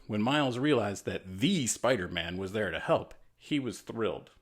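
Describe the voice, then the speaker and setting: A man's voice: fairly low pitch, animated, moderate pace. American man hosting an informal storytime. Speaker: writer reading his own children's story aloud. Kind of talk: informal storytime read-aloud with chatty asides.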